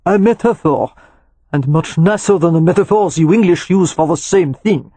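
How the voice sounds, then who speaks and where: A woman's voice: fairly low pitch, moderate pace, warm tone, slightly rough, no echo. A woman voicing a male chef with a French accent, superior and teasing.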